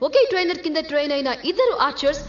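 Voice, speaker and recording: An actress playing an excited female announcer at an archery championship in a film, with animated delivery. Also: sits high, rapid-fire, noisy background. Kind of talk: film sports commentary. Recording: dull film audio under loud music.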